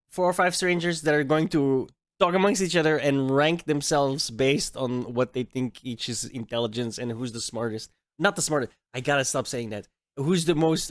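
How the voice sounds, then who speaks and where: A man's voice: mid-range voice, no echo, clean studio sound. A man hosting a reaction video, talking in a casual, blunt and joking way and laughing often.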